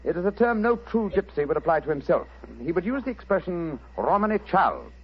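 An actor playing a calm, cryptic middle-aged detective in a 1940s radio drama, measured and matter-of-fact with a teasing edge. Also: male voice, high-pitched, slight background noise. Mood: calm, wry. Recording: muffled old radio broadcast.

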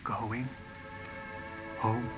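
An actor playing a kindly, earnest professor in a 1950s radio drama, speaking gently and formally with deliberate phrasing. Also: male voice, mid-range voice, flat delivery. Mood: wistful, gentle. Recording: old radio broadcast, reverberant, music behind.

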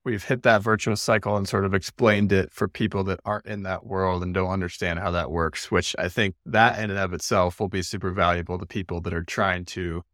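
Low-voiced man hosting an interview. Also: level tone, a little fast, hardly any pauses, a bit bright, no echo, clean studio sound. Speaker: podcast host. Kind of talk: interview questions.

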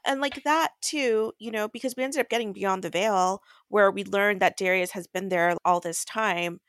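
A woman's voice: mid-range voice, moderate pace, a bit bright, no echo, studio quality. A woman co-hosting a podcast, chatting casually about books. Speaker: co-host of a book recap podcast. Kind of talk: casual book chat.

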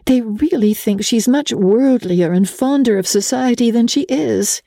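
A woman voicing an earnest, gentle young society fiancee in a dramatic audiobook reading, with hesitant, wistful enthusiasm. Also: on the high side, measured pace, gravelly, no room sound, studio quality, voice pushed up.

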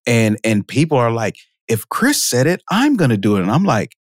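A man guesting on a podcast, casually telling stories about his career, laughing often and jumping up in pitch for quoted reactions. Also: moderate pace, dry recording, clean studio sound. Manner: lively storyteller, jumps high for quoted reactions, laughs often. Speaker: podcast guest, marketing automation expert. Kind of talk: casual interview storytelling.

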